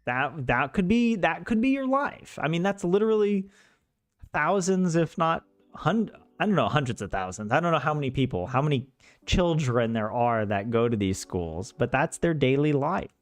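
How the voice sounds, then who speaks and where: A man's voice: a little high, sing-song, normal speed, no echo, soft background music. A man from the American South chatting casually on a livestream in a rambling, self-deprecating, joking way. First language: English. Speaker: streamer learning Finnish.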